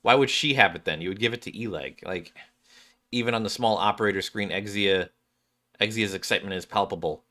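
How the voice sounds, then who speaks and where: A man's voice: lowish voice, brisk, bright, forceful tone. A man streaming a game, reading its dialogue aloud and adding casual commentary.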